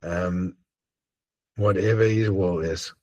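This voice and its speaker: A man with an Afrikaans accent and a deep voice, hosting an online group and chatting earnestly and hesitantly over a video call. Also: steady tone, takes it slowly, a little mellow, lo-fi sound. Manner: hesitant, many ums and uhs, earnest. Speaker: host of an online assembly. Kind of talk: casual closing chat on a video call.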